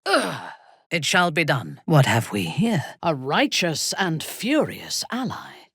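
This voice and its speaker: A low, husky, ruthless drow commander. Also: female voice, wildly swooping pitch, fairly bright tone, no room sound, studio quality, heavily acted. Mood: haughty, measured. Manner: commanding, deliberate, menacing. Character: ruthless, imperious female drow warband commander. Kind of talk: dramatic video game voice acting.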